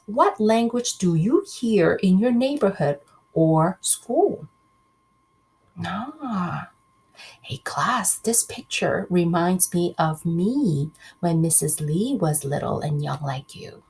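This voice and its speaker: Expressive, husky-voiced woman teacher reading aloud. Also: mid-range voice, takes it slowly. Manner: expressive, addresses children directly with questions. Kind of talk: children's read-aloud with asides to pupils.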